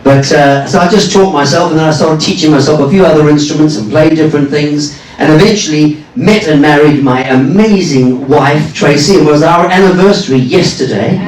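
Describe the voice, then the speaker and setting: A man's voice: a little high, brisk, hardly any pauses, darkish tone. An older man from Zimbabwe, a cruise director and musician, chatting and joking with a lounge audience between songs. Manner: self-deprecating, joking with the audience. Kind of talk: live stage patter between songs. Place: ship's lounge stage. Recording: roomy, from a distance.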